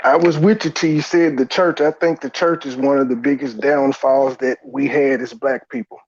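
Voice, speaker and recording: A man's voice: mid-range voice, steady tone, normal speed, husky. A man in a casual debate, giving his own view in a plain-spoken, informal way over slightly dull audio.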